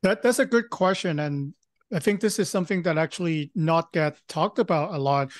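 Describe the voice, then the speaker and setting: A man from Hong Kong, a Cantonese native speaker, talking casually as a podcast guest, often pausing and restarting. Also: on the high side, no echo, clean studio sound. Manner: halting, many fillers and restarts. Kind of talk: casual interview talk.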